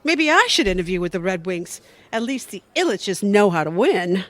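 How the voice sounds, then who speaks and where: A woman playing a scheming, sharp-tongued, flamboyant woman in an audio theatre comedy. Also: mid-range voice, very animated, moderate pace, close to an everyday voice. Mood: brassy, wry.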